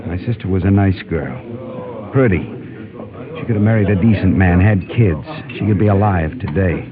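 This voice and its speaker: A lowish man's voice from an actor gives us an embittered frontiersman set on revenge. He speaks in clipped, scornful phrases in old radio drama style. The recording is dull, with a clear crowd behind him. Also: moderate pace, close to an everyday voice. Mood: bitter, brooding. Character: bitter, vengeful brother, hard frontier man. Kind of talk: 1950s Western radio drama acting.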